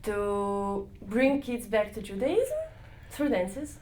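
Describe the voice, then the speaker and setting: Woman, halting interview answers. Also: medium pitch, a little mellow. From Israel. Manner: searching for words, halting with fillers and restarts. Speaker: interviewee, volunteer dance leader.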